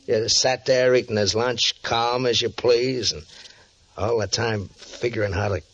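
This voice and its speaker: An actor playing a brash, boastful, flirtatious private eye with slangy, cocksure talk in 1950s radio drama style. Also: male voice, medium pitch, fairly even intonation, a little slow, fairly bright tone, slight background noise, lightly acted. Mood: confiding. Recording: dull, muffled old radio recording.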